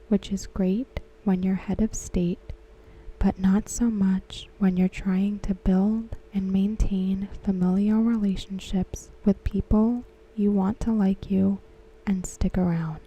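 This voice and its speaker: A woman whispering softly and unhurriedly in an ASMR style, talking about a TV episode. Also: mid-range voice, breathy edge, rough recording. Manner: soft unvoiced whisper throughout. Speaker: ASMR creator discussing a TV episode.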